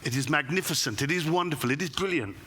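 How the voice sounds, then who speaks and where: A man putting on a fake Italian accent to play a prim, dapper museum curator who answers in slow, low tones. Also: animated, pushed and bright, breathy edge, full character voice. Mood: smug praise.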